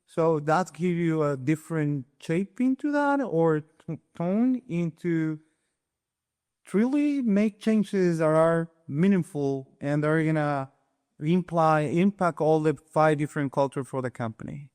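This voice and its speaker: A man's voice: on the high side, animated, a little slow, darkish tone, clean tone. A man in his forties from Mexico, with a Mexican Spanish accent, answering interview questions eagerly and often restarting his sentences. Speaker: guest, supply chain executive.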